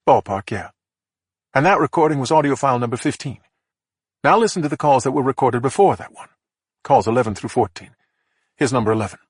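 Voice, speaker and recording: A man's voice: medium pitch, expressive, brisk, pauses often, slightly rough, no room sound, studio quality, pitched above the performer's own voice. A man voicing a methodical female police investigator who talks in a level, matter-of-fact way. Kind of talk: audiobook character voice.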